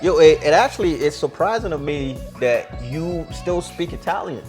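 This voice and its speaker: Young male interviewer, a bit high-pitched, slangy and friendly, clear music bed underneath. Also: expressive, moderate pace, a little mellow. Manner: friendly slang-heavy banter. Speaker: interviewer host. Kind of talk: casual interview.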